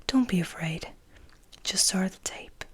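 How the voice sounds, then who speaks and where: A woman reading as a composed, wistful male vampire in a soft, confiding, breathy whisper for an ASMR audiobook. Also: mid-range voice, expressive, a little slow, lightly acted. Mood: gentle, confiding. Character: gentle, composed, wistful male vampire telling his story.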